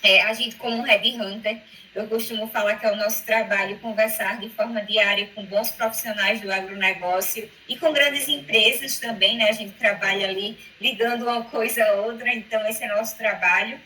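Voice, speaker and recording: A woman's voice: medium pitch, steady tone, moderate pace, sharp tone, slight background noise, low-quality recording. A woman with an Alagoas accent from northeastern Brazil, a headhunter interviewing on a video call in a friendly, chatty way. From Maceió, Alagoas, Brazil. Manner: friendly, chatty, laughs often.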